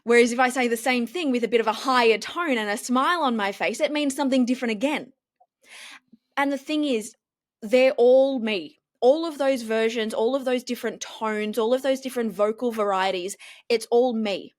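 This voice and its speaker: A woman, a TV journalist and confidence coach, talking in a casual interview with an expressive, strong reporter-style delivery. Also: fairly high pitch, normal speed, dry recording, clean studio sound.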